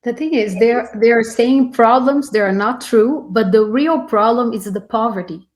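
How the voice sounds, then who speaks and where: A woman from Brazil and Italy speaking in an interview, earnest and a bit halting, repeating words as she goes. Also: a little high. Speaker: interview participant. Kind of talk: conversational interview remark.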